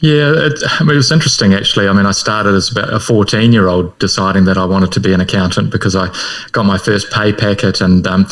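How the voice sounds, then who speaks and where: Relaxed low-voiced New Zealand man. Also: fast talker. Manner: relaxed storytelling with self-deprecating humor. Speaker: guest. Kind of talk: casual podcast interview.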